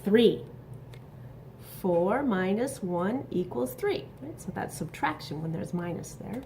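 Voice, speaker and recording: A woman reading a picture book aloud to young children, expressive and instructive, pausing to ask questions and add asides. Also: mid-range voice, a little hiss or hum. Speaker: storybook reader.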